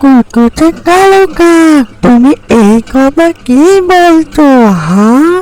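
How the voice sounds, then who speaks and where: A woman voicing a small female tailorbird in an animated fairy-tale cartoon, indignant yet kindly, speaking in a scolding, deliberate way. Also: high-pitched, low-quality recording.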